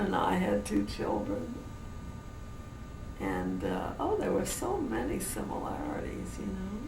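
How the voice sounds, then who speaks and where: An elderly woman from New Orleans with a general American accent, slowly reminiscing in an interview with many restarts. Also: medium pitch, vocal fry. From New Orleans, USA. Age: older. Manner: slow, meandering, with many restarts. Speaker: interviewee, great-grandmother. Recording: noisy, roomy home recording from a distance.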